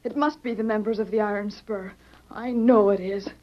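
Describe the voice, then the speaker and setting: A woman's voice: mid-range voice, steady tone, moderate pace, natural voice. A woman playing an earnest, worried secretary in an old-time radio western, speaking urgently and precisely. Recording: muffled, hissy vintage radio recording.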